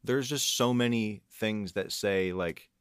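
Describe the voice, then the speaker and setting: A man with a deep voice chats reflectively as a guest on a podcast. He explains things in a measured way, leaning on repeated phrases. The recording is very dry. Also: clean tone, no room sound. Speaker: guest composer and audio engineer. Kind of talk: casual reflective chat.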